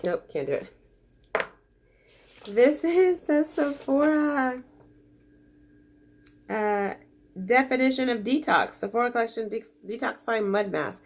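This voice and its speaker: A woman's voice: fairly high pitch, animated, a little slow, long pauses. Stuffy-nosed woman, casual beauty vlogger, gushing and chatty. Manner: chatty, gushing, easily disappointed. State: congested. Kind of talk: casual unboxing chat.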